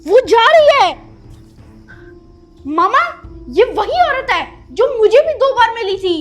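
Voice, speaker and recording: A performer playing a cheeky, quick-witted young boy, playful and bold, in an acted moral-story skit. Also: extremely high pitch, sing-song, faint music behind, full character voice. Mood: urgent.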